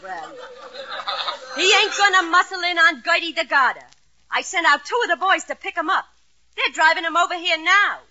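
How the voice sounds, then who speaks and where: Tough bossy gangster boss lady, high-pitched. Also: lively intonation, normal speed, noisy background. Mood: menacing, scheming. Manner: snarling, slangy gangster talk. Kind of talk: 1940s-50s radio comedy acting. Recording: dull old radio recording.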